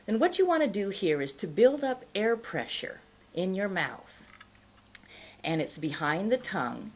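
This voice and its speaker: A middle-aged woman giving an instructional pronunciation lesson, explaining in a deliberate, carefully enunciated way. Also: lowish voice, a little hiss or hum. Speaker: pronunciation teacher. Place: indoor studio, plain backdrop.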